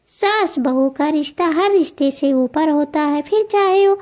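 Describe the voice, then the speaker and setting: Female cartoon storyteller: extremely high, girlish pitch, soft mellow tone, scripted narration. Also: measured pace.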